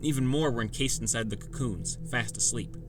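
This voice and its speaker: A man with a medium-pitched voice narrates a fantasy audiobook in the first person. Music plays clearly behind his scripted reading. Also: brisk. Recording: loud music bed.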